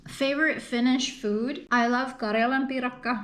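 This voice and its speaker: A woman's voice: high voice, steady tone, normal speed. Chatty woman answering viewer questions. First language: English. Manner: earnest and chatty, with fillers and repeated phrases. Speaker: content creator. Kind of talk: casual Q&A vlog talk.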